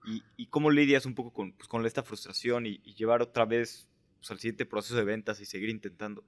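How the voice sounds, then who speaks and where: A man hosting a conversational interview, asking winding, halting questions padded with filler words. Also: fairly low pitch, steady tone. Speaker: podcast host, interviewer.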